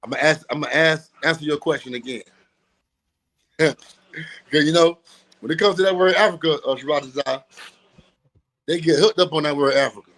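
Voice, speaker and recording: A man's voice: fairly high pitch, a little fast, long pauses, fairly bright tone, low-quality recording. A man teaching in an emphatic, preachy way, repeating phrases and laughing often. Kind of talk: street-teaching talk.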